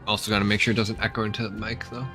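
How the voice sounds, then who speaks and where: A man's voice: on the low side, little pitch movement, racing, bright, forceful tone, soft background music. A man giving casual playthrough commentary as a gaming streamer, laid-back and slangy.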